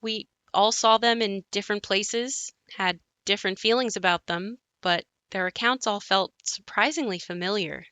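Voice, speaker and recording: A woman playing an adult narrator who looks back on her haunted childhood home in a scripted audio play, reflective and measured. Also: medium pitch, pushed and bright, dry recording, lightly acted. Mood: wry, reflective.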